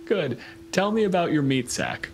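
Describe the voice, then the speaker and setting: A man's voice: on the high side, very animated, moderate pace, faint music behind. A man casually hosting and narrating a tabletop game as game master, irreverent and free with swearing.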